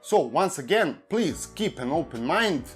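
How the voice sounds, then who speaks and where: A man with a Serbian accent, a native Serbian speaker, delivering scripted commentary in a measured, even way as he reads from a prepared text. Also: on the high side, faint music behind. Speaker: YouTube commentary host. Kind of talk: scripted commentary read aloud.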